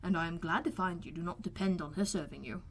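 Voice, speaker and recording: A woman voicing a calm, sardonic middle-aged father in an audiobook, teasing in a measured, wordy, deadpan way. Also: on the low side, slight background noise, pitched below the performer's own voice, natural voice. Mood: dry. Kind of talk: audiobook character voice.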